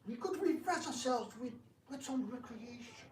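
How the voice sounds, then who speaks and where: A man's voice: very high voice, animated, moderate pace, darkish tone. A man playing a proud, scheming prince who feigns gracious courtesy in a theatrical reading. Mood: effusively gracious. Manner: florid, exaggerated courtesy. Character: proud, scheming Indian prince, a grandfather, falsely gracious host. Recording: echoey room, distant mic, background noise.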